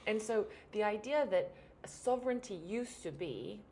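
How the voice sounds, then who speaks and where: A woman from Australia with an Australian accent, hosting an interview and telling anecdotes in a chatty way with quick restarts. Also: medium pitch, animated, darkish tone. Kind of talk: conversational interview.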